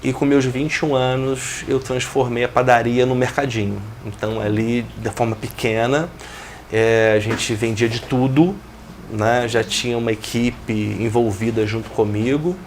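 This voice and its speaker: A man in his forties from Brazil, a business owner talking through his career as an interview guest, in a steady, reflective way. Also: mid-range voice, moderate pace, slight background noise. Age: 40s. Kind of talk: interview, telling his life story.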